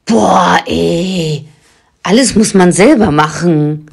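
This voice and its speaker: A woman's voice: lowish voice, slow pace, vocal fry, slight background noise. An actress playing a sassy, villainous witch grandmother in an audio drama, with a sardonic drawl. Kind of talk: German audio drama acting.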